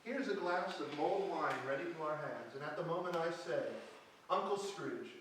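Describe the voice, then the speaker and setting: A man playing a jovial Victorian gentleman in live readers' theatre, with hearty, declamatory delivery. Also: a little high, normal speed, close to an everyday voice. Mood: hearty, festive. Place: small theatre hall with audience, on stage. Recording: echoey hall from far off, noisy.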